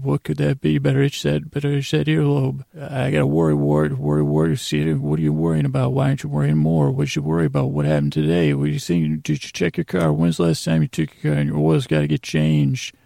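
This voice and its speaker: A nagging, insistent auctioneer of worries, put on by a male podcaster, in a creaky voice. The patter is quick and repetitive, piling item on item in a bedtime monologue. The sound is dry and close. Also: on the high side, little pitch movement, dark tone, dry recording. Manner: rapid repetitive chanting patter.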